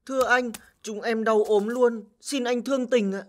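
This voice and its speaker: A woman's voice: on the high side, fairly even intonation, normal speed, a little hiss or hum, lightly acted. A woman playing an eager, hard-working young chick in a cartoon, with a chirpy, earnest manner. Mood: pleading. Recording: loud music behind the voice.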